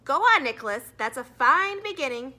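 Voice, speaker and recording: A woman's voice: sits high, very animated, normal speed, bright, forceful tone, voice pushed up, full character voice. A woman voicing a prim, strict but encouraging woman schoolteacher in a children's storybook, with formal, firm classroom address. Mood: approving.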